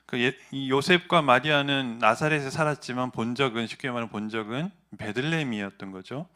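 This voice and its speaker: A middle-aged pastor, preaching in a loose, conversational way. His voice is bright and clear at a mid pitch, and he pauses often on small fillers as he asks his listeners questions. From Gyeongsan, North Gyeongsang, South Korea. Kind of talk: sermon.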